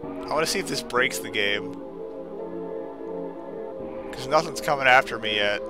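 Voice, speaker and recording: A man's voice: medium pitch, unhurried, over music. A man giving casual game commentary and reading a story aloud, with sardonic, exasperated asides. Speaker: let's player reading a creepypasta aloud.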